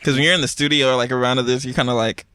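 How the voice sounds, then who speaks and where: Young male host, bright voice, dry close sound, rambles with fillers. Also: mid-range voice, fairly even intonation, very fast, dry recording. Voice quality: raspy when rapping. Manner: rambling, filler-heavy explaining. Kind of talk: casual chat.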